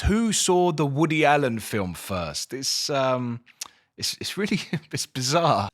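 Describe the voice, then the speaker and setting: An English man giving a casual spoken book review, expressive, with dramatic emphasis and incredulous questioning. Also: medium pitch. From England.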